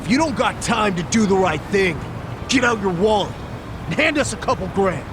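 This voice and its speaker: High-pitched, menacing street punk. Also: male voice, expressive, normal speed, background noise. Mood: threatening. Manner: taunting, aggressive. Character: menacing young street thug shaking down passers-by. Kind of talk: English game dub acting.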